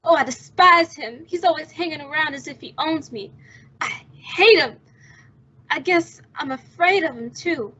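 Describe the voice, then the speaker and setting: A woman's voice: high-pitched, normal speed, sharp tone. A woman playing an anxious, devoted young sister in an old-time radio melodrama, earnest and emotional in manner. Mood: distressed. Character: anxious, devoted young woman protecting her fugitive brother. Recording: dull, muffled lo-fi sound.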